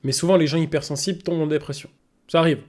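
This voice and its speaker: A woman's voice: sits low, steady tone, racing. A woman giving casual live reaction commentary as a streamer, in a blunt, slangy way with swearing. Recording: loud music and cartoon audio behind.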